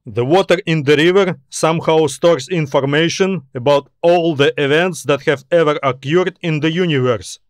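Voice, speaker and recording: A man's voice: fairly high pitch, normal speed, few pauses, no echo, clean studio sound, close to an everyday voice. A man voicing a confident, practical military admiral in a sci-fi audiobook, with an authoritative, businesslike manner. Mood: formal. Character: confident male space-navy admiral, practical, commanding. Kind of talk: audiobook character dialogue.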